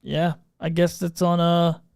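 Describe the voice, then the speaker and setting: Higher-pitched casual male livestream host, gravelly edge. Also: completely flat delivery, measured pace, darkish tone, dry recording, clean studio sound. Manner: informal, thinking aloud while troubleshooting. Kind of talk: casual unscripted livestream chat. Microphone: podcast microphone through audio mixer.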